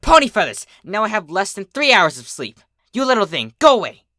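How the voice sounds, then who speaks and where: A performer voicing a bookish young female pony, anxious and easily exasperated, in an expressive cartoon-style story reading. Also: mid-range voice, a little fast, no room sound, studio quality, voice pushed up. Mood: annoyed, exasperated. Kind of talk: animated character acting in a fan fiction reading.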